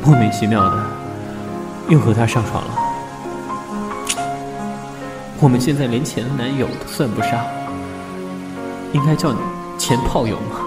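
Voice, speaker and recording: A man's voice: medium pitch, normal speed, long pauses, lightly acted. A man acting in an audio drama as a weary, conflicted young man, restrained and introspective. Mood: self-reproachful. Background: background music.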